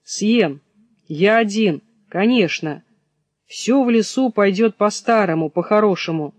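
Guy doing an old storybook wolf, gruff and smug, threatening, takes his time, very dry sound. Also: high voice, unhurried, no echo, voice pushed up. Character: menacing, boastful old male wolf.

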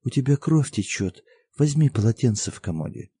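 A man voicing a meek peasant mother who speaks softly and hushes her children. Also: mid-range voice, lively intonation, normal speed, husky, dry recording, pitched above the performer's own voice. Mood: worried. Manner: soft, hushing. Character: meek, tired peasant mother, soft and gentle.

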